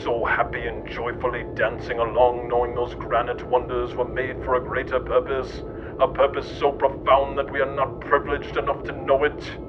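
A man reading scripted mock-documentary narration in a pompous, deadpan manner. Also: moderate pace. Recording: lo-fi, muffled, loud rumbling noise.

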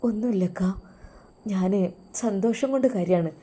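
A woman's voice: mid-range voice, moderate pace, slightly rough, lightly acted. A woman doing a tearful, fragile young wife. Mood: forced cheer. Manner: quiet, fragile and close to tears.

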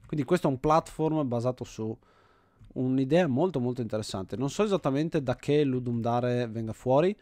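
An Italian man gives casual commentary as he plays. His voice sits at an average male pitch and is recorded dry, with little room sound. He reasons out loud as he goes, often doubling back on his own words. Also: normal speed, no echo. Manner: thinks aloud, often repeating himself. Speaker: host of an indie game video series.

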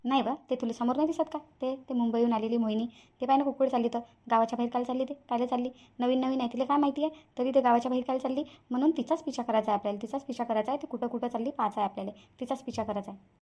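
A woman's voice: on the high side, steady tone, rapid-fire, warm tone, natural voice. A woman voicing a bossy, nosy village woman who plays detective in a cartoon, urgent and commanding, with a Varhadi Marathi accent. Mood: insistent. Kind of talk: cartoon character dialogue.

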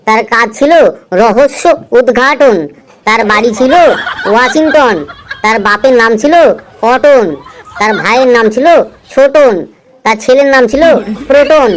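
Woman voicing a cheeky high-voiced cartoon schoolboy. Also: slight background noise, natural voice, poor audio. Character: cheeky evasive schoolboy reciting silly answers, comedy cartoon. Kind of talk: cartoon comedy voice acting.

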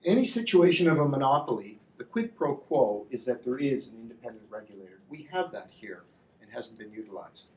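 A middle-aged man gives a public presentation at a panel, speaking in a measured, halting way with emphatic repeats. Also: medium pitch, big pitch swings, a little mellow. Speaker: industry association representative, panel speaker. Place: indoor lecture room with slide screen. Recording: echoey room, distant mic, faint noise.

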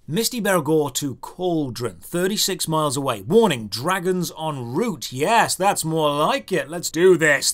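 A man's voice: on the high side, fairly bright tone. A man narrating a scripted video game review in a sarcastic, theatrically exasperated manner.

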